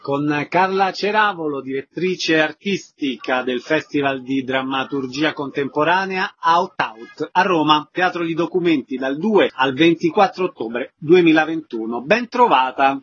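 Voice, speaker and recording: Higher-pitched male radio presenter, noisy recording. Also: measured pace, hardly any pauses, fairly bright tone, muffled recording. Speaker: radio host and interviewer. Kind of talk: scripted radio arts announcements and interview questions.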